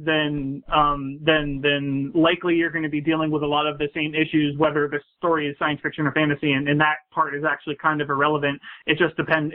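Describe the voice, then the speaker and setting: A man speaking as a guest in a casual discussion over a call, rambling haltingly and circling back on his phrases. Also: a little high. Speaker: guest panelist, anthology editor. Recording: tinny phone-line audio.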